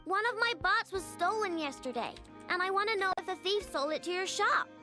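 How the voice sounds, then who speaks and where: A woman's voice: very high-pitched, animated, fairly quick, bright, forceful tone, soft background music, exaggerated voice. A woman voicing a small, blunt, earnest girl inventor in a video game, with a childlike manner. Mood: earnest, worried.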